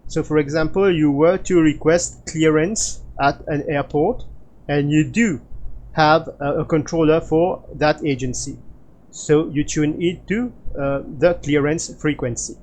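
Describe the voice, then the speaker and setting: Male tutorial instructor, slightly higher-pitched voice, very measured pace, hesitant with many filled pauses. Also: fairly even intonation, darkish tone, rough recording. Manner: hesitant, halting delivery with frequent filler pauses. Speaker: flight simulator instructor. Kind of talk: explanatory tutorial narration.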